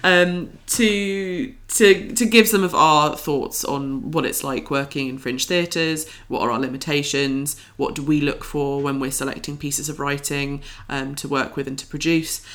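A woman's voice: deep voice, steady tone, a little slow, hardly any pauses, fairly bright tone. A woman co-hosting a podcast, chatting in an informal, enthusiastic way. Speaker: podcast co-host. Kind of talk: casual scripted-free podcast chat.

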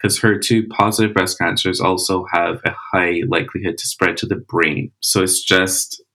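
A man, a cancer researcher giving measured, explanatory interview answers with "uh" and "you know" fillers. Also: low-pitched, fairly even intonation, sharp tone, rough recording. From Barbados.

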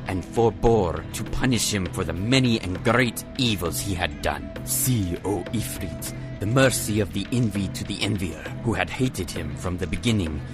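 Male narrator, lowish pitch, theatrical scripted storytelling, archaic diction, music behind.

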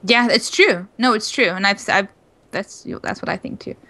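A woman's voice: mid-range voice, moderate pace, sharp tone. A woman in her thirties talking casually as a co-host in a group conversation. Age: 30s. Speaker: podcast co-host.

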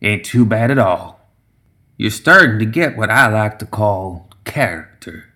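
Man voicing a slow, rustic old gremlin brewmaster. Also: on the low side, lively intonation, pushed and bright. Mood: measured approval. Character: ancient gremlin brewmaster, proud, folksy, gruff moonshiner.

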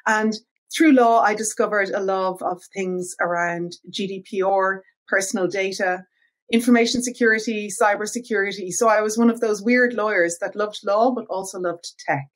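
Irish woman, podcast guest, measured and articulate, mid pitch. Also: steady tone. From Ireland. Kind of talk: interview answers.

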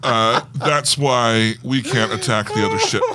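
A man's voice: medium pitch, a little slow, background noise. A man co-hosting a casual chat show, explaining fan lore at length in a geeky way. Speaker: podcast co-host reviewing film news.

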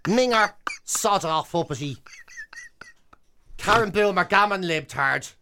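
An Irish man hosting a breakfast radio show, bantering in a mock-earnest, deadpan comic way. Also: high-pitched, slow pace, slight background noise. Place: radio studio.

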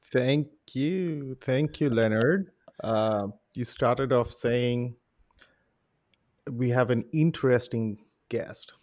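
A man in his forties with an Indian accent softened by life in several countries, talking as a guest on a podcast. His pitch sits in the middle range for a man, and his phrasing is measured with a playful, joking streak. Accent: Indian, mixed with international influences. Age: 40s. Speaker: guest musician. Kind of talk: casual podcast interview.

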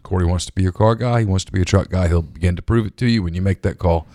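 Deep-voiced man co-hosting. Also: fast, warm tone, no room sound, clean studio sound. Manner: chatty, rambling. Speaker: podcast co-host. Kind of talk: casual sports talk and live ad reads. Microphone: studio microphone.

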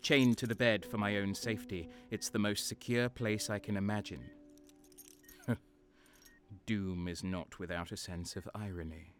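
A man's voice: fairly low pitch, steady tone, measured pace, fairly bright tone, soft background music. A man playing a cynical, guarded wounded guardsman sergeant, narrating a sci-fi war audio drama in a sardonic, brooding way. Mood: grim, wry.

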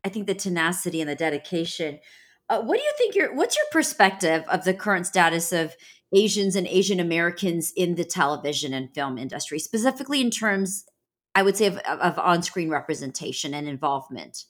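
Low-voiced woman interviewer, animated. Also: fairly quick. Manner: supportive, gives short affirmations and laughs along. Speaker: interviewer host. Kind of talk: interview questions.

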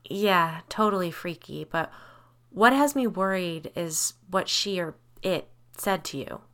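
A woman's voice: on the low side, measured pace, fairly bright tone. A woman voicing a concerned female friend in a spooky story, firm and pressing as she questions. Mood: probing.

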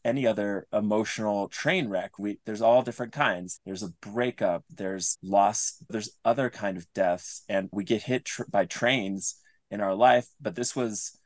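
Earnest man, lower-pitched, in dry close sound. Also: a little slow, dry recording. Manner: earnest, explanatory, often restating phrases. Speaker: interview guest, audio drama creator. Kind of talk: conversational interview answers.

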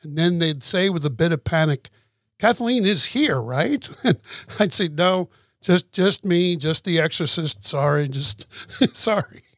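A man hosting an interview, gentle and unhurried, often laughing as he talks. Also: fairly high pitch, animated, no echo, studio quality. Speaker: interview host. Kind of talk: casual interview talk.

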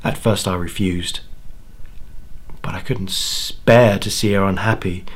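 A man playing an anguished, dying old grandfather in a first-person horror monologue, speaking haltingly and dramatically with repeated words. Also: low voice, steady tone, slightly rough. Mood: sorrowful confession. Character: anguished dying old grandfather confessing his terrible bargain.

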